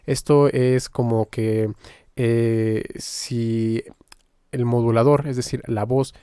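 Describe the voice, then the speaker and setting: A man giving an informal, explanatory software tutorial, pausing now and then as he demonstrates. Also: mid-range voice, steady tone, clean tone, no echo, clean studio sound. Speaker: music software tutorial instructor.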